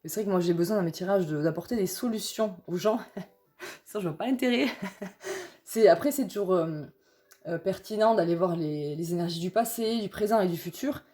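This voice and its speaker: Hoarse chatty young woman with a cold. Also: lowish voice, a little fast, dark tone. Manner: chatty, rambling with many fillers. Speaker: tarot reader. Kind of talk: casual tarot vlog chat.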